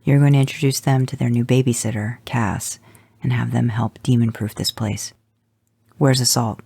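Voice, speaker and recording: A brash hunter who talks gruff and hurried, as a male reader plays him. The voice is gravelly and dark, with a dry, close sound. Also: medium pitch, fairly even intonation, no room sound. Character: brash, impatient man, a monster hunter.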